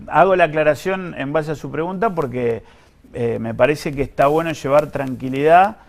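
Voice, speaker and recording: A man's voice: mid-range voice, creaky. An Argentine man answering in an interview as an official, explaining in a hesitant way and often repeating himself. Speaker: guest, pension fund director.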